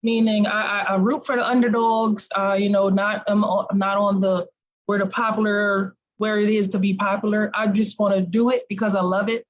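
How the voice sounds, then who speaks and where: A woman's voice: mid-range voice, level tone, moderate pace, highs cut off. A woman answering conversationally as an interview guest, chatty and emphatic about her points. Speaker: talk show guest, actress.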